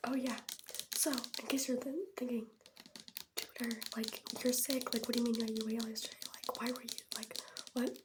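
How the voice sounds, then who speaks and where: A teenage girl whispering in a breathy voice, rambling casually and wryly in an ASMR storytime style with youthful slang. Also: fairly high pitch, flat delivery, moderate pace, noisy background. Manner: chatty, wry, youthful slang. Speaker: ASMR host showing products.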